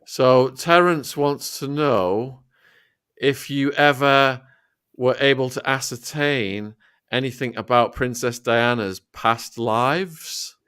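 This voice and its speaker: A man hosting a podcast, reading viewer questions to his guest in a measured way. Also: mid-range voice, a bit bright, clean tone. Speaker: podcast host.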